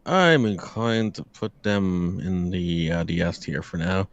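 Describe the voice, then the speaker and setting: A man's voice: deep voice, a little slow, no echo, lo-fi sound. A man chatting casually as a guest commentator, stammering and often repeating his words. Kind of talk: casual reaction chat.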